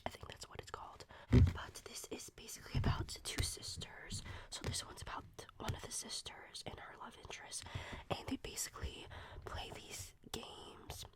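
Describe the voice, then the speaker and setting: A young woman with a cold, whispering a casual, rambling ASMR book review full of fillers. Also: normal speed. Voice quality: soft unvoiced whisper. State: sick. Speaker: ASMR creator.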